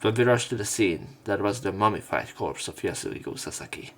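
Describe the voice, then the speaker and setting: A man's voice: lowish voice, expressive, brisk. A man reading visual novel text aloud and commenting casually on it as a let's player.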